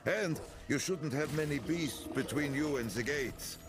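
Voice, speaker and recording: A man's voice: fairly high pitch, measured pace, natural voice. A man playing a humble, friendly farmer with a folksy way of talking in a video game. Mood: helpful. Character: humble, grateful male farmer, friendly and wry. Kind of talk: video game character acting. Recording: music behind the voice.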